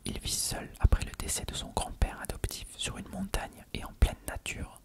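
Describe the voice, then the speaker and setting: ASMR host, fully whispered, breathy and soft, for hushed encyclopedia-style reading. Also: moderate pace.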